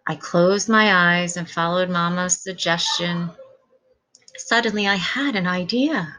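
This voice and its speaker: A woman's voice: lowish voice, a little slow, sharp tone. Woman reading a children's storybook aloud. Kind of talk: children's storybook read-aloud, scripted narration.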